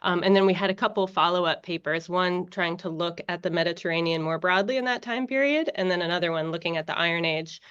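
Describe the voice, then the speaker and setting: A woman's voice: on the low side, quick pace, hardly any pauses, clean tone, lo-fi sound. A woman from the United States with an American accent, a guest researcher explaining her work casually with lots of fillers and restarts over a video call. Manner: relaxed, many fillers and restarts.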